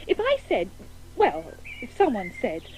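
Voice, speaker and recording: A woman's voice: a little high, wildly swooping pitch, measured pace, warm tone, slightly rough, heavily acted, rough recording. An actress playing a prim, easily flustered young woman in a radio play, protesting in a proper manner. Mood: amused, reluctant.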